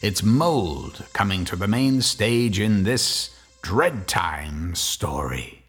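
A man with a low, swooping voice hosts a radio horror tale, lingering theatrically over every word. Music plays beneath his narration. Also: animated, a bit bright. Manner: slow, theatrical, relishing each word. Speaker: host narrator. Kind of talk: 1940s-style radio horror host narration.

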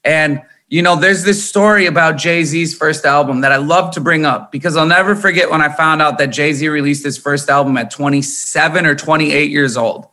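A man's voice: fairly high pitch, normal speed, few pauses. A man in his thirties from Minnesota, a musician talking candidly and emphatically in an interview, swearing freely. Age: 30s. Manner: animated, emphatic, swears often. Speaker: guest musician.

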